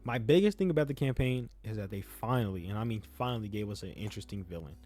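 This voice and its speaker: Fast-talking young man, mellow voice. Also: on the low side, expressive, soft background music. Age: young adult. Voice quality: self-described speech impediment. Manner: rambling, slangy, repeats phrases for emphasis. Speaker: YouTube gaming content creator. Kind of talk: casual opinionated game review talk.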